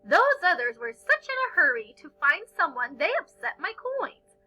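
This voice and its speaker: A woman's voice: very high voice, very animated, normal speed, sharp tone, soft background music, pitched above the performer's own voice, full character voice, low-quality recording. A woman voicing a grumpy, miserly lobster who growls and grumbles in a storybook reading. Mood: grumpy, snappish.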